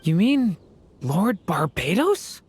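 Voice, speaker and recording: An actor voicing a poised, self-assured character in a video game, speaking politely and calmly. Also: mid-range voice, slow, faint music behind.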